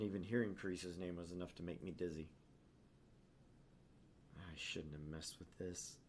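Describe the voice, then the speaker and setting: A man voicing a haunted young male student who narrates his anguish in a brooding, measured way in a visual novel. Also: sits low. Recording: clear background noise.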